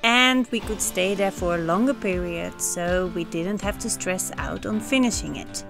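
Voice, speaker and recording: A woman with a Dutch accent reads her sailing-vlog voice-over in a calm, reflective way. She sounds like she is in her thirties. Faint music plays under her words. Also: mid-range voice, moderate pace, gravelly. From Netherlands. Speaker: sailing vlogger. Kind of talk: scripted voice-over narration. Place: on a sailboat cockpit under a canopy, outdoors.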